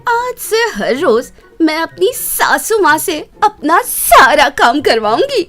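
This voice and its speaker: A woman's voice: sits high, big pitch swings, slow pace, a bit bright, voice pushed up, full character voice. A woman playing a greedy, imperious young daughter-in-law who gloats and gives orders in a children's moral story. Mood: gloating, bossy.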